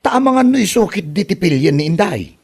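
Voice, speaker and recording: A man's voice: high-pitched, big pitch swings, fast, a little mellow, husky, heavily acted. A man playing a boastful, scoffing young man in a comedy audio drama, exclaiming and mocking. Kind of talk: Ilocano comedy radio drama acting.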